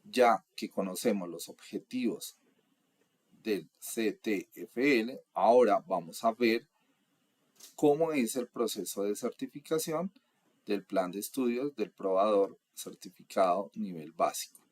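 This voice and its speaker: A young adult man from Colombia, explaining course material in a steady, methodical lecture. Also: lowish voice, measured pace. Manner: steady, methodical, lists items one by one. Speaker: instructor.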